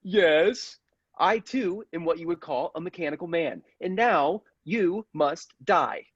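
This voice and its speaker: Man playing a coldly menacing robot villain, husky voice. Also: on the high side, no room sound, muffled recording, voice pushed up. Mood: gloating, menacing. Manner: deliberate, grandiose, formal. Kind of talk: classic radio play acting.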